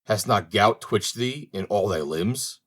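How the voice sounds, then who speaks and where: A man's voice: fairly low pitch, animated, a little slow. Man voicing stern, solemn Death, formal and commanding. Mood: stern, reproachful. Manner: formal, archaic, commanding speech. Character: Death, stern, solemn male reaper spirit.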